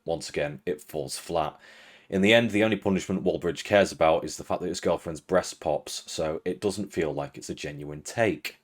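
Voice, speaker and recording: A young man with a British accent, his voice sitting very low. He reads a prepared critique as host, steady, earnest and dry in tone. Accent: British English. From Britain. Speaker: video essay host. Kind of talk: scripted critical commentary.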